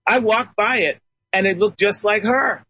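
A man's voice: sits high, unhurried, bright, forceful tone, breathy edge, muffled recording. A man phoning in as a guest on a talk radio show, telling personal stories emphatically.

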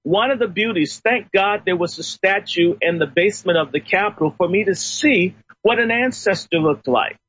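A man's voice: high-pitched, moderate pace, few pauses, a bit bright, lo-fi sound, a little hiss or hum. A man phoning in as a guest on a talk radio show, telling personal stories emphatically.

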